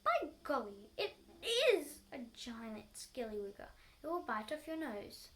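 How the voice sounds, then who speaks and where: Woman playing a taunting, nasty old husband, pitched high. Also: sing-song, unhurried, a bit bright, exaggerated voice. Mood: gleefully menacing.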